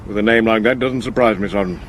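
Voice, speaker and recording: A man's voice: lowish voice, fast, close to an everyday voice. Man playing a sardonic English police inspector. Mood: brisk, sardonic. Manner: sardonic, clipped, commanding. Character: sardonic, businesslike Victorian London police inspector. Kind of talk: 1970s radio drama acting. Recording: slightly noisy vintage radio recording.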